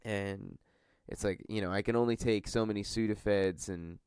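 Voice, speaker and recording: A man's voice: lowish voice, fairly even intonation, normal speed, a little mellow, clean tone, no room sound, clean studio sound. A man hosting a podcast, congested from a cold, chatting casually in a rambling, filler-heavy way. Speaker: podcast host.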